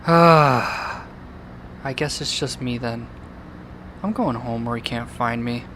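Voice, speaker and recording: Slow, mopey cartoon boy played by a man. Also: mid-range voice, a bit bright, a little hiss or hum. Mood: dejected, self-pitying. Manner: mopey, self-pitying. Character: dejected, bullied, timid young boy Zoombini, one-eyed creature. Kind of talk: amateur cartoon audio drama acting.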